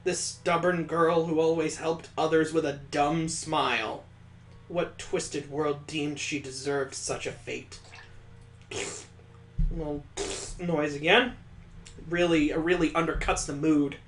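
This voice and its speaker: Guy reading a visual novel out loud and snarking at it, kinda high voice, roomy echo. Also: steady tone, slow, a little hiss or hum. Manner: dramatic reading broken by sarcastic comic asides. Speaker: let's play host.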